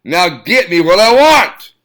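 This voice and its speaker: A gruff army commander barks orders in a loud, forceful voice, as a man reading a story puts him on. He is a hard, blunt officer who expects to be obeyed. The voice swings widely in pitch. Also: big pitch swings, unhurried, exaggerated voice. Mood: barking. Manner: shouting orders.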